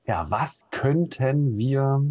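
A man in his thirties with a Berlin accent, co-hosting a casual live stream from home, relaxed and full of hesitations. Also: medium pitch, drawn-out words, crowd noise. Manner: relaxed, many fillers and hesitations. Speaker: co-host. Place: home room with bookshelf. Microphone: video call webcam. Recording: dull lo-fi video call audio.

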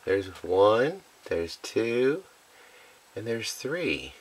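A middle-aged man hosting his homestead channel, talking in an easygoing, unhurried way from a cozy home office by the fireplace, recorded by a camera across the room. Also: lowish voice, sing-song, slight background noise, rough recording. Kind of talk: relaxed vlog talk to camera.

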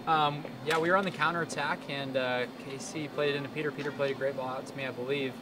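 A man's voice: mid-range voice, fairly even intonation, fast. A man in his twenties, a soccer player, giving a casual, hesitant postgame interview. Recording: loud background noise.

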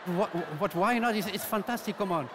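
A man in his sixties with a French accent, a ventriloquist contestant speaking halting, simple English on stage and in interview. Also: high voice, a loud crowd. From France. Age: 60s. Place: large theatre stage and backstage interview. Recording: loud music and audience noise.

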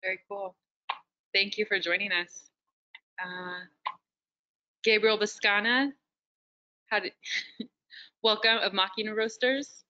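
A woman's voice: mid-range voice, fairly even intonation, slow pace, pauses often, sharp tone, muffled recording. A woman co-hosting a video panel, speaking casually with frequent fillers. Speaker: panel co-host.

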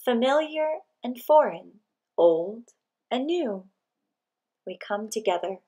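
Slow-paced woman reading a picture book. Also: fairly high pitch, expressive, mellow. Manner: measured, deliberate phrasing with pauses between short phrases. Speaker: read-aloud narrator. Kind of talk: expressive picture-book read-aloud.

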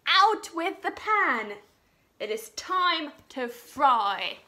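A hearty, helpful storybook king, as a woman reads him, booming out his words loud and slow in a bright, high voice. He sounds eager and bold. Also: big pitch swings, exaggerated voice.

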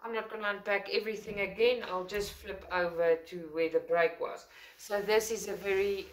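A woman's voice: medium pitch, fairly even intonation, moderate pace, rough recording. A woman with a South African accent, a native Afrikaans speaker, chatting enthusiastically as she unboxes a craft kit at a table indoors. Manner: chatty, enthusiastic, rambling with self-corrections. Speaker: craft channel host. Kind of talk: casual unboxing talk to camera. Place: indoors at a table, filming overhead.

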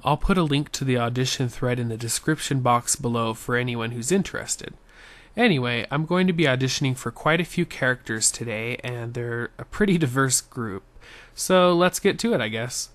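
An amateur voice actor introduces audition pieces informally. The voice is mid-low and unassuming, captured dry without any room sound. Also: moderate pace, fairly bright tone, no room sound.

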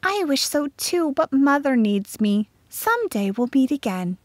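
A woman voicing a gentle, affectionate young girl in a storybook, speaking softly and wistfully to a friend. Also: high voice, sing-song, measured pace, dry recording, pitched above the performer's own voice. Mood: tender, wistful.

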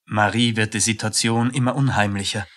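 A man's voice: lowish voice, fairly even intonation, brisk, a bit bright. A man narrating a scripted radio play in a sober, matter-of-fact manner.

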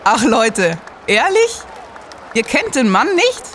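A man's voice: very high-pitched, wildly swooping pitch, slow, a bit bright, full character voice. A man playing a matter-of-fact, knowing young student detective in a radio play, explaining things. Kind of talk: scripted radio play acting. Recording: noisy crowd backdrop.

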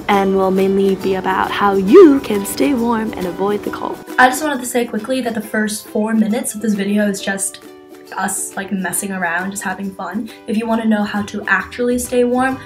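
A woman's voice: mid-range voice, steady tone, normal speed. Female vlog narrator, dry and matter-of-fact, over music. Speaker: vlogger.

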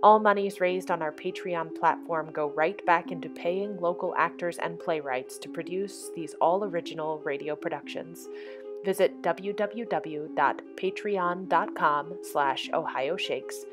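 A woman reads scripted broadcast announcements in a slightly low voice. Theme music plays clearly behind her. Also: a little slow.

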